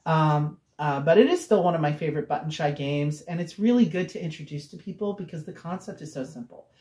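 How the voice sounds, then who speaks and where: A woman co-hosting a casual chat, her voice raspy and hoarse as it recovers, chatty and rambling with frequent laughs. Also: low voice, a little fast, warm tone, reverberant. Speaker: co-host.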